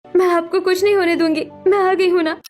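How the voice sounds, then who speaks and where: A woman's voice: extremely high pitch, fairly even intonation, a little fast, darkish tone, faint music behind. A woman voicing a devoted, earnest young daughter with a pleading manner in a Hindi cartoon melodrama. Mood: desperate, pleading. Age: young adult.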